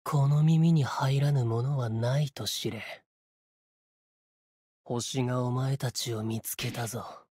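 A man voicing a solemn, cryptic sword spirit warrior in a Japanese game, with measured, portentous, archaic phrasing and a breathy voice. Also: mid-range voice, fairly even intonation, warm tone, no room sound, clean studio sound. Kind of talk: Japanese game character voice lines.

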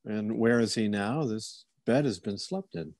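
A man's voice: on the low side, unhurried, darkish tone, dry recording, studio quality. A man playing a courteous, inquisitive police officer who muses and probes politely, in old-time radio theater style. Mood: businesslike. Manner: polite, musing, probing.

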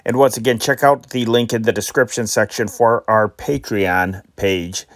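A man's voice: on the low side, steady tone, normal speed, no room sound. Dry-sounding male radio show host. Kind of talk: casual scripted radio-show sign-off.

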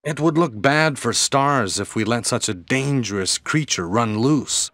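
An actor playing an apologetic, earnest police comrade in a video game, with stilted delivery. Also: male voice, medium pitch, expressive, unhurried, a bit bright, dry recording. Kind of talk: video game cutscene acting.

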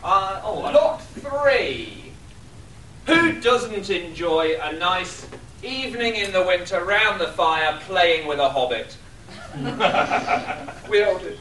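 Wry male auctioneer, slow and bright, heard from afar in an echoey room. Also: high voice, expressive, noisy background. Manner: wry, drawn-out delivery. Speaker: charity auctioneer. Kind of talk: reading lot descriptions with asides. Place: hotel function room at a convention. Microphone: far room camera. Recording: echoey room, distant camera.

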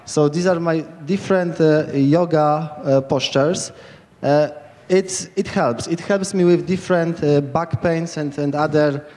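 A man's voice: on the high side, unhurried, darkish tone, in a large hall. A man in his thirties with a Polish accent, giving a rambling, enthusiastic lightning talk into a handheld mic at a camp stage. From Poland. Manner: rambling, enthusiastic, many restarts. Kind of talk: informal conference talk. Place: large tent stage at hacker camp.

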